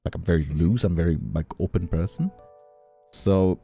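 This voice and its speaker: A man chatting casually as a livestreamer, rambling and halting, often repeating himself as he finds his point. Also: sits low, mellow, breathy edge. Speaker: streamer and content creator. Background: faint music.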